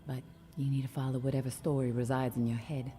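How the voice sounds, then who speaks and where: An actress playing a calm, guarded survivor of a zombie apocalypse in a video game, speaking in a measured, earnest way. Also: female voice, extremely low pitch, a little hiss or hum. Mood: wistful, earnest.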